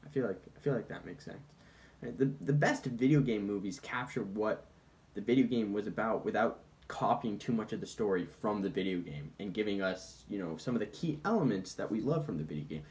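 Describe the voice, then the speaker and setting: Low-pitched man, casual comedic commentary. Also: animated, a little fast, a little mellow. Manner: informal, mock-defensive asides, conversational. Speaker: film commentator presenting points. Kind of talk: casual comedic video essay, bantering with an unheard sidekick.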